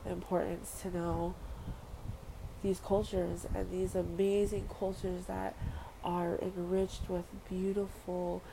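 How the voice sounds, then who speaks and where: Young woman from Samoa, earnest vlogger, low and flat delivery. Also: slow, creaky. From Western Samoa. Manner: earnest, repeats phrases, casual fillers. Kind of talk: heartfelt personal monologue. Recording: noisy background ambience.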